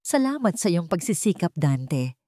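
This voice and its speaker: A woman voicing a guarded, brooding billionaire man with a calm, measured manner in an audio love story. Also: on the low side, dry recording, studio quality.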